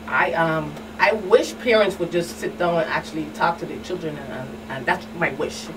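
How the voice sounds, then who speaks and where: A woman's voice: deep voice, moderate pace. A woman answering as a guest expert, earnest and conversational, circling back and checking that she is understood. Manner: earnest, repetitive, often adds "you understand". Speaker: community outreach specialist, guest expert. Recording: distant mic, clear background noise.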